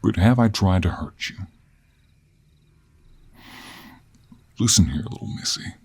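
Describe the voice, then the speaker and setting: A man playing a gruff, stubborn cowboy monster hunter in an audio role play, with an American Western accent, a folksy drawl and a husky voice. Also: moderate pace, darkish tone, exaggerated voice. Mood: stern, firm. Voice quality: husky, low.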